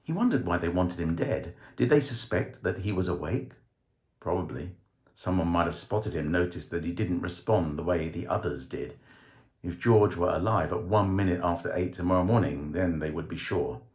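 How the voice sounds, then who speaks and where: A man reading a short story as dramatic scripted narration, with theatrical, measured phrasing. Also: sits low, darkish tone. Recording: roomy, echoing voice recording.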